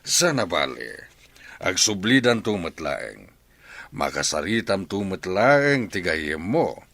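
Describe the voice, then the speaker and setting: A man's voice: low voice, big pitch swings, slow, creaky, fairly bright tone, exaggerated voice. An actor playing an evasive, blustering middle-aged father in a radio drama, with a hedging delivery.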